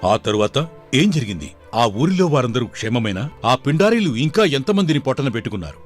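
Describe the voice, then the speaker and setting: A dignified king from a storybook tale, played by a man. He speaks formally and with authority, questioning and declaiming in a medium-pitched voice, with faint music behind. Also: sing-song, brisk, natural voice. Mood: concerned. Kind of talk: dramatised Telugu folk tale.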